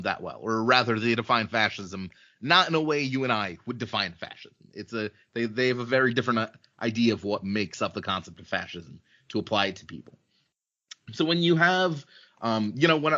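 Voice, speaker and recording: Man, from Ukraine, podcast guest, blunt, heated, swearing, bright forceful tone, dull lo-fi audio. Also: mid-range voice. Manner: blunt and heated, frequent swearing and filler words. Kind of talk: casual podcast conversation.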